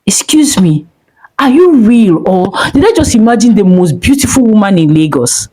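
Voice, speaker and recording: A woman voicing a smooth, dismissive married man who cheats, mocking and scornful. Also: medium pitch, very animated, moderate pace, warm tone. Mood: charming.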